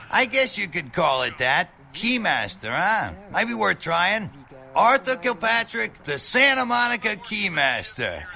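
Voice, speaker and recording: A wisecracking American bail bondsman talks in a high, creaky, forceful voice that swings around a lot. He is chatty and a little seedy, a rambling video game character. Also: exaggerated voice. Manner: colloquial, jokey, rambling.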